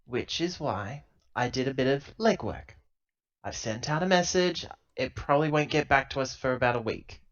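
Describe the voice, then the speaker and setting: A man playing a tabletop role-playing game, improvising dialogue and narration in a halting, self-correcting, emphatic way on a lo-fi recording. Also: mid-range voice, lively intonation. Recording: dull lo-fi laptop recording.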